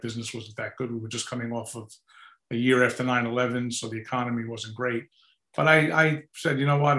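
A man in his sixties with a New York accent, a company founder chatting in an interview, relaxed and rambling with many fillers. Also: medium pitch. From New York City. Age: 60s. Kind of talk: casual interview chat. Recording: roomy echoey sound.

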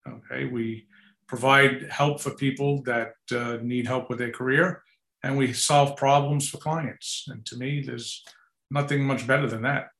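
A man in his sixties with a New York accent, a company founder chatting in an interview, relaxed and rambling with many fillers. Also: medium pitch, measured pace. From New York City. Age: 60s. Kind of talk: casual interview chat. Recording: roomy echoey sound.

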